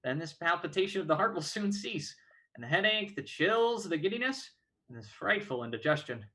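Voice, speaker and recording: A high, forceful voice of a courteous old-fashioned doctor , acted by a man. He is formal and a touch pompous, breezily reassuring in the manner of a period stage play. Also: expressive, a little fast, full character voice. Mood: instructing. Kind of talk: dramatic reading of a 19th-century play.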